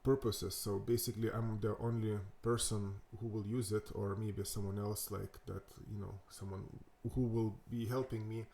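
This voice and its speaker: A man with a Lithuanian accent hosting a coding tutorial, thinking aloud in a halting way with many fillers and restarts. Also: on the low side, flat delivery, vocal fry, warm tone, a little hiss or hum. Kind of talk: casual screen-recorded coding walkthrough.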